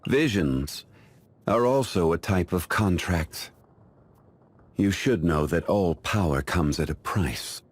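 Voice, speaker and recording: A male actor playing a calm, dignified, ancient immortal man in a video game, speaking in a measured, formal, refined way. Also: low voice, husky, exaggerated voice. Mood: solemn. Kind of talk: scripted video game character voice lines.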